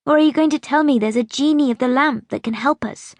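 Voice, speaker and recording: Woman performing a bitter young girl, high voice, insistent, accusing, audiobook character, dry close sound. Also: fairly quick, dry recording. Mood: bitter, pleading. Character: bitter, demanding young girl pleading with her great-grandmother.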